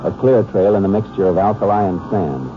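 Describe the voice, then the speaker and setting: An actor playing a tough, steady Texas Ranger in a 1950s radio western, speaking tersely and sternly. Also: male voice, low voice, a little fast, natural voice. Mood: grim narrating. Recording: dull old radio recording, faint music.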